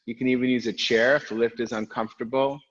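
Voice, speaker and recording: A man guiding an online yoga class, with a loud, strong voice, calm and reflective, speaking in unhurried phrases with pauses. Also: medium pitch, muffled recording. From United States. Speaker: yoga teacher. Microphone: recorded for an online class video.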